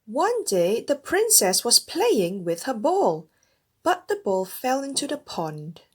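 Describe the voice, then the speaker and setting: A woman narrating a read-along storybook in an expressive way for young children. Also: a little high, takes it slowly, husky. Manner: animated, addressing young children and parents.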